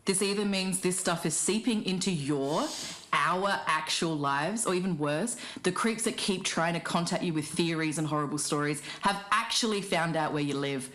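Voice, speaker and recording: A woman's voice: lowish voice, moderate pace, a bit bright, natural voice. A woman playing a blunt, fed-up and frightened podcaster in an audio drama, recorded in an echoing room. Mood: exasperated, scared. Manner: exasperated, blunt. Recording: roomy, echoing pickup.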